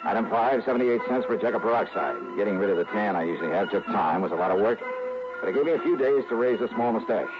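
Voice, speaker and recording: An actor playing a shrewd, wry private investigator in a 1950s radio drama, with clipped, matter-of-fact delivery. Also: male voice, on the low side, animated, fast, lightly acted. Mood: wry, intent. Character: shrewd male investigator narrating his case, posing as bumbling waiter. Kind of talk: 1950s radio detective narration. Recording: muffled old radio recording, loud music.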